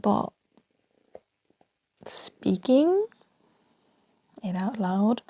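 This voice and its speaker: A woman with a Danish accent talks unhurriedly through her unscripted podcast, letting her voice sink into a breathy half-whisper again and again. Her tone is mellow and low-key. The sound is close and dry, with no room around it. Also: very animated, extremely slow, dry recording. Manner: rambling, soft, often half-whispered, laughs between thoughts. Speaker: podcast host. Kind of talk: unscripted solo podcast rambling.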